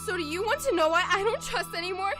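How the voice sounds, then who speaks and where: A woman's voice: very high-pitched, lively intonation, fast talker, over music. A young woman in an amateur audio drama playing a bitter, guarded rich teenage girl, dramatic and cutting. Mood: hurt, bitter. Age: young adult.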